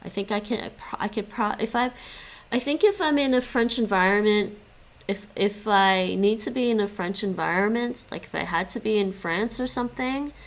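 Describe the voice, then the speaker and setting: Chatty streamer woman with a gravelly voice. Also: mid-range voice, moderate pace. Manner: chatty, stops and restarts often, laughs a lot. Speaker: live streamer studying languages. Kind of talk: casual chat with stream viewers.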